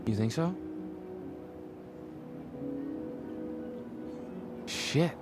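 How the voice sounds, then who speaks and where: A man's voice: on the high side, animated, moderate pace, loud music behind the voice, lightly acted. An actor playing a young male college student, foul-mouthed, frustrated and unsure of himself, in a casual, naturalistic video game style. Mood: self-doubting. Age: young adult. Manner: slangy, casual, swearing.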